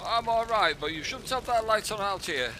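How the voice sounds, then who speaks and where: An actor playing a gruff but friendly British wartime neighbour on blackout patrol in a radio play, with a raspy, strained voice. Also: male voice, high-pitched, lively intonation, normal speed, bright, forceful tone, a little hiss or hum. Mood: officious, matey.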